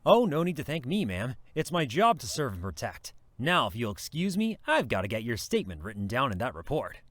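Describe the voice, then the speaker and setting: Male actor as young police officer, courteous, earnest, very expressive intonation, audio drama. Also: mid-range voice, fairly quick, no room sound. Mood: modest, dutiful. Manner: polite, dutiful, reassuring.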